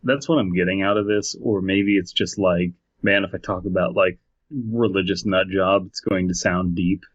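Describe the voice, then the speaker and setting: A man with a low voice rambles through casual podcast talk and piles up fillers and restarts. The mic sounds close, dry and lo-fi. He often sounds amused and offhand. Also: fairly even intonation, normal speed, no room sound. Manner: rambling, filler-heavy, loose. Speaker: podcast co-host. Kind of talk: casual chat.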